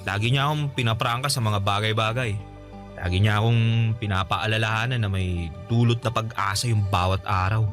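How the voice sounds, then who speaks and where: A man's voice: mid-range voice, fairly bright tone, natural voice. A man playing cheerful young Neil. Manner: cheerful, reassuring, laughs often. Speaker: radio drama actor. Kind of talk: Tagalog radio drama acting. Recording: radio drama with background music.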